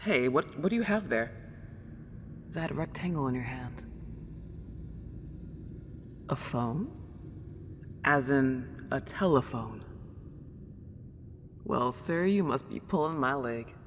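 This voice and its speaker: Century-old ghost girl, played by a woman, excitable and genteel, ASMR drama, very reverberant and noisy recording. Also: rumbling low, slow, long pauses, heavily acted. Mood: puzzled. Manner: old-fashioned genteel wording, gushing wonder. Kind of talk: ASMR roleplay acting. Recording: long reverb, clear background noise.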